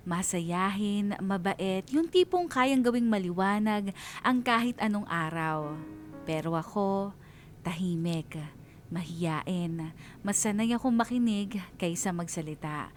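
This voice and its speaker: A woman with a Filipino accent narrating a scripted first-person story as a radio DJ, reflective and sentimental, with pauses. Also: mid-range voice, animated, slight background noise. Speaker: radio DJ reading a listener's story.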